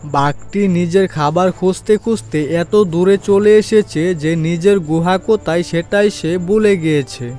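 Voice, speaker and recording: A man's voice: high-pitched, measured pace, mellow, faint music behind. A man narrating a scripted children's animal story as the storyteller.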